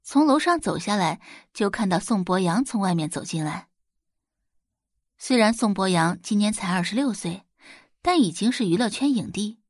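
Female narrator, scripted audio drama, expressive storytelling, animated intonation, mid pitch, dry studio-close sound. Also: moderate pace, no room sound.

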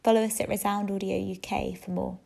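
Creaky-voiced woman introducing a podcast. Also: mid-range voice, little pitch movement, brisk, mellow. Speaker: podcast host introducing a show. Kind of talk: scripted podcast announcement.

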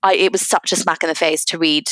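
A woman's voice: low-pitched, steady tone, quick pace, fairly bright tone, clean tone, dry recording. A young British woman hosting a podcast, chatting casually and emphatically with her guest. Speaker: podcast host. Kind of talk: casual conversational interviewing.

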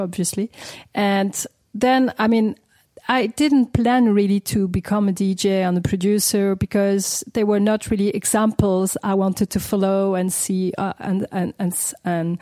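Warm-voiced woman, hesitant. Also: medium pitch, no echo. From France (Paris). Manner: hesitant, many restarts, laughs between phrases. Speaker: guest, DJ and producer. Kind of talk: casual interview answers.

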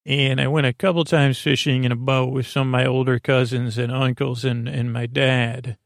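A man hosting a bedtime podcast in a meandering, halting monologue, doubling back on phrases with deadpan whimsy. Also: mid-range voice, creaky, dry recording, studio quality. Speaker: podcast host.

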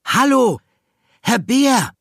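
A man's voice: very high-pitched, very animated, very slow, vocal fry, fairly bright tone, no room sound, studio quality, voice pushed up, exaggerated voice. A man voicing a small, timid young female mouse for a children's audiobook, speaking in a courteous, careful manner. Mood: calling out politely.

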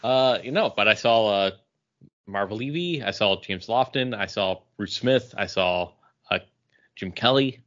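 A man's voice: lowish voice, animated, unhurried, fairly bright tone. Man chatting casually, slightly dull lo-fi sound. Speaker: podcast co-host. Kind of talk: casual pop culture and beer chat. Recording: dull, lo-fi podcast audio.

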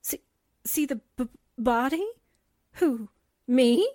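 Nervous, stammering girl sidekick for radio drama, high voice, slow delivery, dry sound. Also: expressive, a little mellow, dry recording. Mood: dismayed. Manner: stammering when frightened. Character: timid, jittery young female assistant, easily frightened. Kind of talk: 1940s radio drama acting.

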